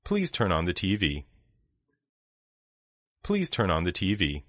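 A man reading short phrases for language learners, saying each one twice in a slow, deliberate way. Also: low voice, wildly swooping pitch, a bit bright, dry recording, clean studio sound. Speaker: language lesson speaker.

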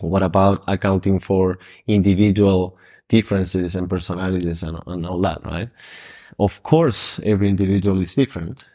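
A man from Argentina co-hosting a casual podcast, with a very deep voice. He lays out his points in a calm, measured and explanatory way. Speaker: podcast co-host.

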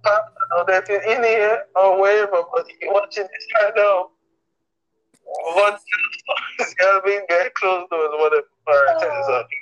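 A man telling crude, animated comic stories in a casual chat. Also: sits high, moderate pace, dull audio. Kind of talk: comic storytelling.

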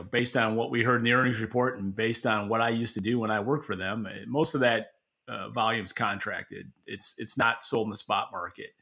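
A man's voice: medium pitch, sharp tone. A man co-hosting a podcast, giving opinionated, conversational commentary with a wry, dry sense of humor. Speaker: podcast co-host and market analyst.

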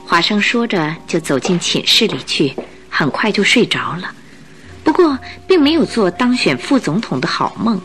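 Female radio drama narrator: scripted storytelling, animated melodic delivery, music bed underneath. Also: mid-range voice, normal speed.

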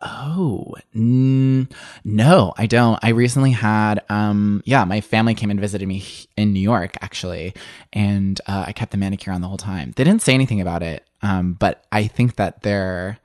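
A young man speaking as a guest in a candid interview, his voice fairly low but prone to sudden upward leaps. He talks in an animated, rambling way, full of filler words. The sound is close and dry, with no room echo. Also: moderate pace. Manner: animated, many "like" fillers, swooping pitch.

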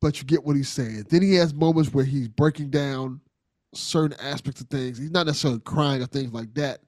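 A man's voice: medium pitch, a little fast, darkish tone, no room sound, studio quality. A man hosting a podcast in casual conversation, earnest and appreciative, often repeating things for emphasis. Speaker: podcast host.

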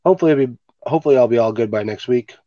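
A man's voice: mid-range voice, racing, mellow, no room sound. Man, podcast host, stuffy nose, laid-back, swears, dry dull-sounding remote mic. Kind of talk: casual chat. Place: home, recording remotely. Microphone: remote home mic.

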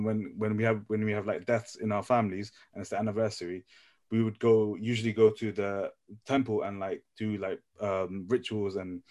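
A young man from Nepal in a casual group discussion, speaking haltingly and often repeating his phrases as he works out his thought. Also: on the low side. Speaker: podcast panelist.

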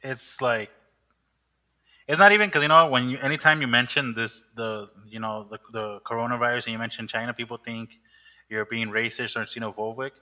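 A man's voice: medium pitch, a little fast, bright, forceful tone. A young man hosting a casual podcast chat, rambling with many fillers and restarts. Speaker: podcast host.

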